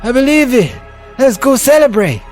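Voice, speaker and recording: Young hot-headed boxer for story drama, played by a man: higher voice, excitable, sincere, over music. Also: normal speed. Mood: excited. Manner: earnest, excitable. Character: eager, hot-headed teenage boy boxer, the younger friend.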